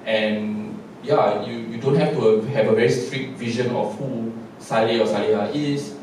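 A man's voice: lowish voice, measured pace, darkish tone. A man, a playwright, talking through his writing at a post-show panel on a theatre stage, loose and reflective with many fillers and restarts. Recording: echoey hall, distant mic, noise.

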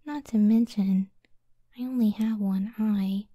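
A woman voicing a gentle, self-doubting giant cyclops wife in a soft, breathy ASMR roleplay, speaking tenderly and often near a whisper. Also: mid-range voice, steady tone, dry recording, natural voice. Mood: wistful, insecure. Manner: slow, tender, unhurried.

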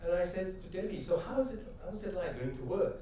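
A middle-aged man giving an animated keynote talk on stage with a headset mic, telling stories and asking rhetorical questions. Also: sits high, fast, a little hiss or hum. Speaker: conference speaker. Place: large conference hall stage. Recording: echoey hall, heard from a distance.

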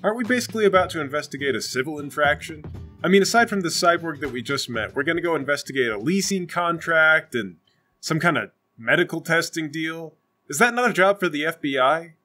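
A man banters as a player in a comedic tabletop game. His voice is bright and forceful, slightly high, and he likes to question and argue. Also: expressive, fairly quick, soft background music.

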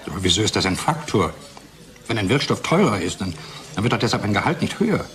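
A careful scientist in a radio play. He is sceptical and hesitant, with a fairly low voice. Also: sing-song, quick pace, a bit bright. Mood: incredulous. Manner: questioning, hesitant. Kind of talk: German radio drama acting.

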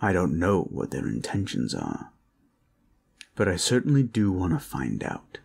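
A man performing an intimate audio roleplay as a protector, speaking in a reassuring, courteous, measured way. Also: low voice, wildly swooping pitch, creaky, a little mellow, slightly rough. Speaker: roleplay voice actor, playing a gentle protector.

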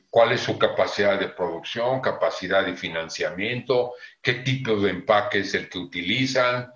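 An older man with a Mexican Spanish accent, a convention manager explaining plans in a measured way on a video call from his home office. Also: on the low side, a bit bright. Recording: echoey room, dull lo-fi sound.

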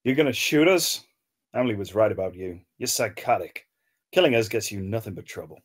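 A man's voice: on the low side, animated, fairly quick. A man reading the part of a stubborn, defensive small-time crook in a screenplay table read. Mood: defiant.

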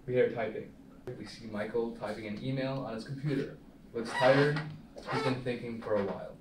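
A man's voice: medium pitch, steady tone, moderate pace. A young man reading stage directions as scripted narration at a film table read. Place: small meeting room, indoors. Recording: roomy, distant mic, faint noise.

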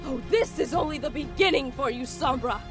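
A woman's voice: high-pitched, a little fast, music in the background, lightly acted. A woman playing a gloating, vengeful female cartoon villain with a theatrical, taunting delivery. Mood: menacing. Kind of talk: animated fan series voice acting.

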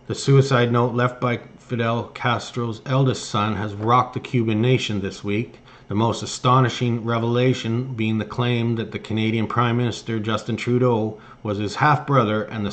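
A man hosting an online news commentary, reading articles aloud and remarking on them somewhat haltingly. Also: mid-range voice. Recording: noticeable background noise.

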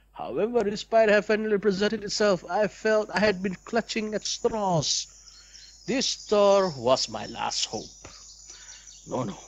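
A man's voice: high voice, fairly bright tone, poor audio. A young man reads a visual novel aloud as a let's play host, with dramatic expression and asides.

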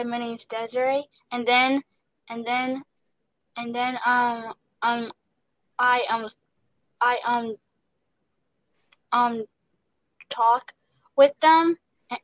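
A woman's voice: high-pitched, no echo, low-quality recording. A young woman who stutters, speaking on a headset over a video call from home, with many fillers and repeated words. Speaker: guest, voice actor. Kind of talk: casual interview chat. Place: home room.

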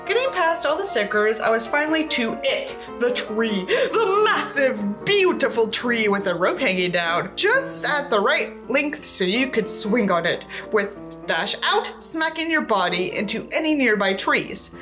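Lively, high-pitched sarcastic young woman. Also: a little slow, music in the background. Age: young adult. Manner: theatrical, sarcastic, profane. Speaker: commentary host reading a book aloud. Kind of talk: dramatic book reading with sarcastic asides. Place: indoors, small set with tinsel backdrop.

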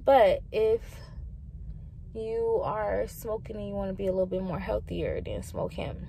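A woman's voice: mid-range voice, a little slow, creaky. The tipsy woman in the car filming a casual, sweary, rambling vlog with noise around her. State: a little tipsy. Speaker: vlogger. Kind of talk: casual chat. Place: inside a car. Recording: noisy in-car recording.

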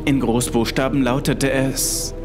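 A man's voice: medium pitch, level tone, measured pace. A man reading a scripted first-person horror story as its narrator. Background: music.

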